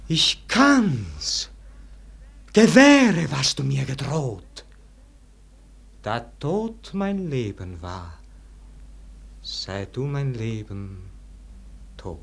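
A man's voice: medium pitch, huge pitch swings, very slow, gravelly, long pauses, breathy edge, heavily acted. An actor playing a brooding, exalted dying nobleman in a vintage German radio verse drama, declaiming theatrically. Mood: ecstatic surrender. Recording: old radio recording with faint noise.

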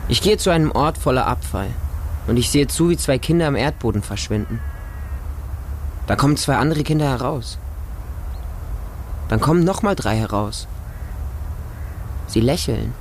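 A man's voice: medium pitch, lively intonation, moderate pace, long pauses, slight background noise, natural voice. A man playing a lonely, naive small homeless boy who narrates his life in a radio drama in short, plain sentences. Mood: lonely, wary.

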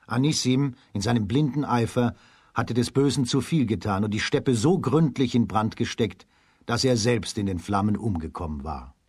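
A man giving measured, scripted storytelling narration for a children's radio drama. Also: medium pitch, animated, darkish tone, slightly rough.

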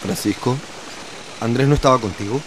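A good-natured Chilean father in a family drama, played by an actor. His voice is medium-pitched and explanatory, with faint noise of the outdoors behind. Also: brisk, close to an everyday voice. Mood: surprised, concerned.

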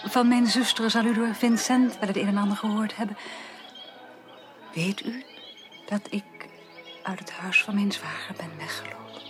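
A woman's voice: mid-range voice, steady tone, normal speed, pauses often, over music, close to an everyday voice. An actress playing a melancholy, broken young upper-class woman in a radio drama, formal and resigned, often subdued almost to a whisper. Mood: sorrowful, resigned. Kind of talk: 1980s Dutch radio drama acting.

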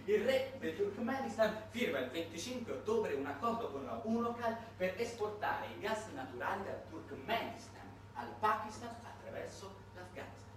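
A man delivering a satirical theatrical monologue in an emphatic, ironic, halting manner. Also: sits high, animated. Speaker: stage monologuist. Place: roomy indoor performance space, far from mic. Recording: distant, echoey, noisy live theatre recording.

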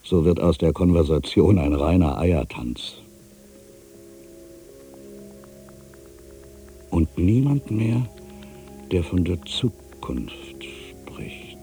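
An actor playing a melancholy writer in his fifties, musing haltingly to himself in a radio play monologue, with a gravelly, husky voice. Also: low voice, expressive, dark tone, soft background music. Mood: bitter, resigned. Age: 50s. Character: aging melancholy male writer back in his hometown.

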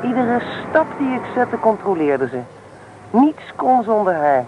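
Young female student, high voice, headstrong, teasing, blunt, radio play, slightly noisy old recording. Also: sing-song, unhurried, muffled recording. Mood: frank, reflective. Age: young adult. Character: headstrong, teasing young woman student, self-assured daughter of businessman. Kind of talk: radio play acting, naturalistic dialogue.

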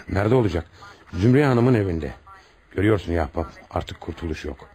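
A man's voice: low voice, expressive, normal speed, warm tone. A man acting a stern, insistent homicide police commissioner in a radio drama, with a commanding, pressing manner. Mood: stern, accusing.

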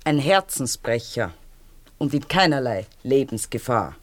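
A woman's voice: extremely low pitch, sing-song, measured pace, exaggerated voice. An actress playing a troubled, melancholy woman who reproaches her lover in a radio play, with an Austrian accent. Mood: guarded, wry.

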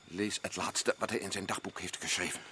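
Male actor: insistent, rattled expedition man, bright tone. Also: low voice, fairly even intonation, fairly quick, a little hiss or hum. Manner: urgent, rising to shouts. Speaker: expedition member Joost. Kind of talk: radio drama acting.

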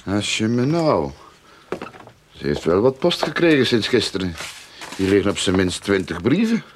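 A cunning investigator in a radio play, dry and pushy. The voice is deep, yet moves about in animated swings of pitch. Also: male voice, normal speed, noisy background. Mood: puzzled, brisk. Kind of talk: radio drama acting.

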